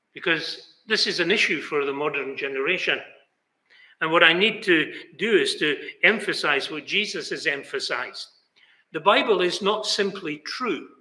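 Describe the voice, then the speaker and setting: Bright-voiced older man preaching. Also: fairly high pitch. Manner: earnest, emphatic, gestures with both hands. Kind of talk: sermon. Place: small chapel, indoors, banner behind. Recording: roomy chapel echo.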